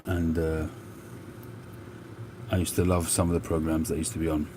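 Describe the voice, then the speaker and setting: A very deep-voiced man with a mixed Cockney and North London accent talks at an easy, meandering pace on a live stream. Traffic and street noise can be clearly heard behind his voice. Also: little pitch movement. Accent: London, Cockney with a North London mix. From England. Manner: slow, unhurried, with many filler pauses. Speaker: livestream host. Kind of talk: relaxed live chat.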